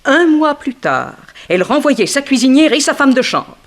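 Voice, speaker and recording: A bitter former ballerina in an old French radio whodunit. Her voice swings widely from slow, loaded emphasis to sharp outbursts. She is theatrical and insinuating, with a cutting tongue. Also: high voice, big pitch swings. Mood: sardonic, gossiping. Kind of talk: French 1960s radio detective drama acting.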